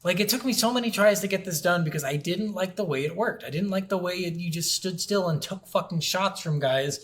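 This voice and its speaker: A man with a slightly high voice talks in a loose, conversational way as a podcast co-host. He is blunt, quick to complain and swears casually as he reviews games. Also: a bit bright. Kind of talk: casual chat.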